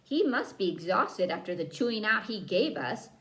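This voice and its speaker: A woman's voice: mid-range voice, big pitch swings, moderate pace, fairly bright tone. Woman reading as a daring, grumbly schoolgirl. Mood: grumbly, dry. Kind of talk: children's storybook character voice.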